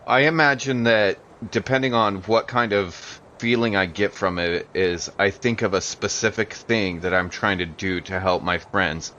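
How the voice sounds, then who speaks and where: A man's voice: on the low side, moderate pace, hardly any pauses, pushed and bright, highs cut off, slight background noise. A man playing a tabletop role-playing game, chatting casually and hesitantly as he thinks aloud. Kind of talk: casual improvised play and chat.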